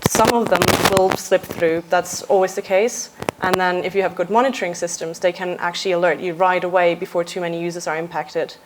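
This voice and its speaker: A young adult woman from Austria, a software engineer giving a conference talk in a casual, lightly joking way with frequent uhs. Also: fairly low pitch, steady tone, fairly quick, hardly any pauses, background noise. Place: conference stage.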